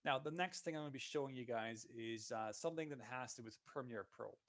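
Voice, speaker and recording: A man, a solutions consultant giving a relaxed, conversational walkthrough of software in a live webinar. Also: mid-range voice, fast talker. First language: Dutch. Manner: relaxed, conversational walkthrough narrating each click.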